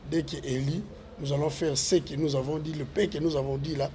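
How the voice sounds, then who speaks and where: A man's voice: on the high side, level tone, a little fast, dark tone, slight background noise. A man, a parliamentary candidate answering interview questions with earnest, repetitive appeals to voters. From Congo.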